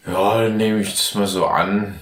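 A man's voice: lowish voice, flat delivery, unhurried, fairly bright tone, reverberant. A man co-hosting a podcast, drunk, bantering casually in a theatrical, clowning way. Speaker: podcast co-host.

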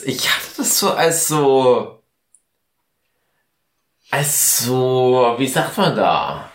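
Male podcast host, German, tipsy and jokey, unhurried casual banter in an echoey room. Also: mid-range voice, fairly bright tone. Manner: rambling, joking, mock-hypothetical. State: drunk.

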